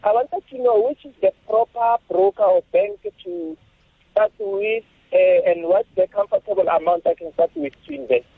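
A man in his thirties calls in to the show, his voice high, lively and raspy with some gravel. He asks his question hesitantly, and the sound comes through a tinny phone line. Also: normal speed, no echo. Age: 30s. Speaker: caller.

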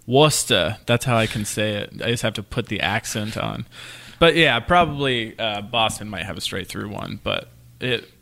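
A man's voice: lowish voice, lively intonation, moderate pace, creaky, bright, forceful tone. A man from Kansas co-hosting a podcast, chatting casually and emphatically about air travel. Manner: chatty, emphatic, jokes about his own trouble with local words. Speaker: podcast co-host. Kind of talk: casual chat.

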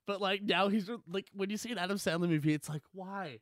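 A man's voice: high voice, fast talker, no room sound, studio quality. A young man co-hosting a podcast in casual banter, talking in loose, slangy phrases full of fillers. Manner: slangy, filler-heavy, frequently says "like" and "dude". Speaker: podcast co-host.

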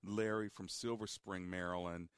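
A male radio host with a somewhat low voice talks calmly and deliberately, steering the conversation with patient guidance. The sound is close and dry, as from a studio microphone. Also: clear, resonant tone, no room sound. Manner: calm, deliberate, guiding. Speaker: radio talk show host. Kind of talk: call-in advice radio.

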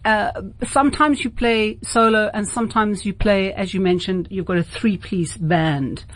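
A woman's voice: medium pitch, normal speed. Woman hosting late-night radio. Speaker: radio host interviewing a guest.

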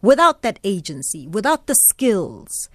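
A South African woman hosting a radio interview, putting her points and questions in a measured, deliberate way. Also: medium pitch, big pitch swings, no echo, clean studio sound. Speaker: radio host. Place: radio studio.

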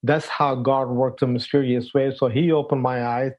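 Older Pakistani-born man, interview guest, muffled lo-fi sound, halting delivery. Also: medium pitch, flat delivery. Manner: halting, many fillers, non-native phrasing. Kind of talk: telling his life story in an interview. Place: at home, in a room. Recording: dull lo-fi sound.

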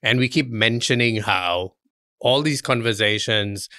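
Bright-voiced man bantering, dry recording. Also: lowish voice, a little slow, dry recording. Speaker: podcast co-host. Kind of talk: casual bantering podcast chat.

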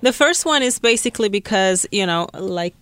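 A woman's voice: medium pitch, lively intonation, normal speed, a bit bright, smooth, no room sound. A woman from the Dominican Republic, a podcast guest, telling a candid personal story in a frank, easygoing way with many "you know"s and "like"s. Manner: frank, laughs easily, fillers like "you know" and "like".

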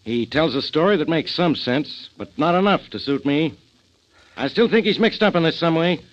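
An actor in a 1940s radio drama playing a blunt, suspicious, hard-nosed police inspector. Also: male voice, a little high, expressive, normal speed, natural voice. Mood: firm, insistent. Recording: dull old 1940s radio recording.

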